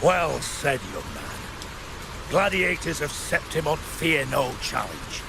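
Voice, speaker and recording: Gravelly older warrior in a game, bold n declamatory, rainy noise behind. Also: male voice, fairly high pitch, huge pitch swings, slow pace, exaggerated voice. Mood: proud, stirring. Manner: rhetorical, declamatory. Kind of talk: video game voice acting.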